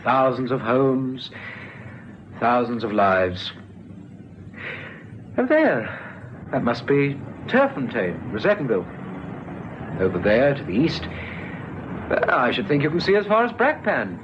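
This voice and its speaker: Radio drama: a patient, coaxing constable, very animated delivery, muffled vintage recording. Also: male voice, mid-range voice. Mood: casual, distracting. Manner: chatty, persuasive, pausing. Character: gentle, coaxing police constable, patient negotiator. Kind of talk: 1970s radio drama acting. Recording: muffled old radio recording, faint noise.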